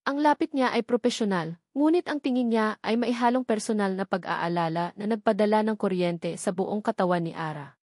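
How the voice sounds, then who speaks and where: A woman's voice: medium pitch, brisk, a little mellow, no echo. Woman narrating a romance drama, dry sound. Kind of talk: scripted dramatic audio story narration.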